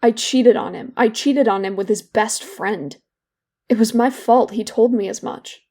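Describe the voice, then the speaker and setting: A young woman playing a guilt-ridden, wry and bitter therapy patient in an audio drama, speaking candidly and haltingly. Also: fairly high pitch, warm tone. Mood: guilty, bitter. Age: young adult. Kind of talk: naturalistic audio drama dialogue.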